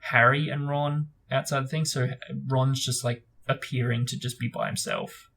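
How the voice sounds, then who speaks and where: Creaky, husky man hosting a book talk. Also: medium pitch, fairly even intonation, moderate pace, fairly bright tone. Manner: hesitant, informal phrasing with frequent "like" and "sort of". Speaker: book discussion host and reader. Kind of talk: book reading and casual discussion.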